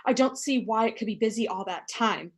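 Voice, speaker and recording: Woman acting high-voiced, fretful, demanding housewife. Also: fairly quick, husky. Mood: fretful, impatient. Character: nervous, frail, imperious housewife, increasingly frantic. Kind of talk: radio play acting.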